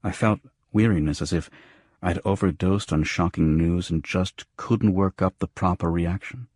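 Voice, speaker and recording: A man with a low, husky voice narrates first-person noir fiction as an audiobook. The sound is dry and close, with no room around the voice. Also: moderate pace, darkish tone, no room sound.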